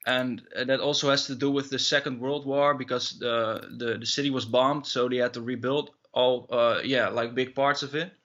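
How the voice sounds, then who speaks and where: A man in his thirties with a Dutch accent and a bright, forceful tone speaks haltingly, filling pauses with fillers. His voice comes through dull, muffled call audio. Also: mid-range voice, fairly even intonation. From Netherlands. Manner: hesitant, many fillers and restarts. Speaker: musician guest. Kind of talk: casual interview answers.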